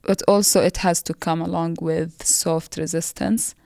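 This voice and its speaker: A woman from Palestine whose first language is Arabic, speaking as a playwright guest in a relaxed interview, thoughtful and often repeating words as she searches for them. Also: on the low side, measured pace, gravelly, dry recording, clean studio sound. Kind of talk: casual interview conversation.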